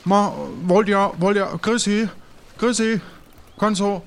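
A man's voice: high-pitched, fairly even intonation, slow, voice pushed up. A man playing a flustered, hospitable ship's cook in an improvised audio play, stammering and repeating himself. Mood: flustered, eager. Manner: stammering, repetitive.

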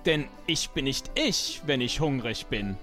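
A man's voice: medium pitch, lively intonation, unhurried, pushed and bright, soft background music. A man playing a small, older, boastful pirate recruit in an improvised audio play, cocky yet hesitant.

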